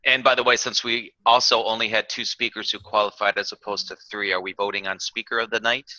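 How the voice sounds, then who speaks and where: A man's voice: fairly low pitch, little pitch movement, a little fast, bright, forceful tone, no echo, muffled recording. A man chairing an online club meeting over a video call in a formal manner, using set procedural phrases. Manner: formal, uses procedural terms.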